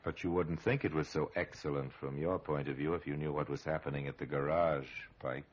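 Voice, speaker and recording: A man acting as the grave, sardonic narrator of an old radio crime drama, telling it with measured, ominous irony. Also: extremely low pitch, steady tone. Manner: measured, ironic, ominous storytelling. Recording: muffled vintage radio recording.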